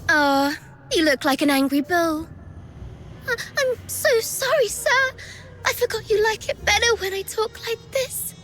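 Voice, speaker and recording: A woman voicing a treacherous young villainess who taunts sarcastically and gleefully at a slow, theatrical pace. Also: extremely high pitch, lively intonation, fairly bright tone, exaggerated voice. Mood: mocking. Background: faint music.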